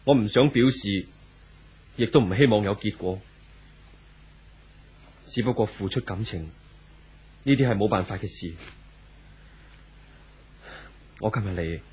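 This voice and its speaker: A man acting a resigned, lovesick twenty-five-year-old in a 1970s radio drama, grave and measured, in Hong Kong Cantonese. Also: lowish voice, a little mellow, husky.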